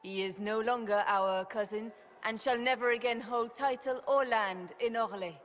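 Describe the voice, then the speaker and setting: A woman's voice: fairly high pitch, normal speed, lightly acted. An actress playing a regal, composed empress in a video game, speaking formally and measuredly to her court. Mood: solemn, resolute. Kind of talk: formal dramatic game acting. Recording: long hall reverb over music.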